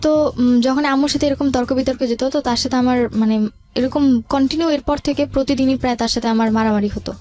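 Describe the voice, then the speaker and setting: High-voiced young Bangladeshi woman storyteller. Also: steady tone, fairly quick, dark tone. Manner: colloquial, mixes in English words. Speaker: guest telling life story. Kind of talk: conversational personal storytelling. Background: background music.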